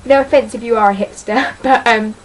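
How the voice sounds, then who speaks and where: A woman's voice: fairly high pitch, fairly even intonation, a little fast, slight background noise. A teenage girl chatting casually about books in a vlog, rambling and hesitant, full of ums and sort-ofs, self-deprecating. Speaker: book vlogger.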